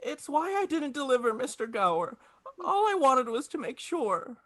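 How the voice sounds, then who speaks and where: An earnest young shop boy acted by a woman in an old-time radio play. The voice pleads and moves up and down in a very lively way. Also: sits high, normal speed. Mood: pleading, frightened. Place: remote video call recording.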